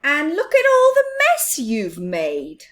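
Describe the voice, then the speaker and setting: A woman voicing a grumpy, overworked male mouse gardener in a children's storybook, loud, exasperated and pleading. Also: high-pitched, wildly swooping pitch, takes it slowly, pitched above the performer's own voice, heavily acted.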